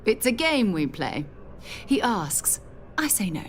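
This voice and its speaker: An actress playing a knowing space explorer companion in a video game, with a dry, direct, teasing manner. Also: female voice, medium pitch, sing-song, slow pace, slight background noise. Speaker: companion character. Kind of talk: video game cutscene acting.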